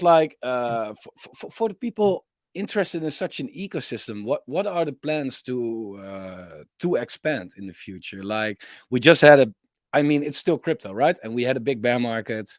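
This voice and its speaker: A man hosting a casual interview, eager and quick to react, often stumbling over the start of his words. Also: mid-range voice, dry recording, studio quality. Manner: enthusiastic, stammers on word starts. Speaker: interviewer, channel host.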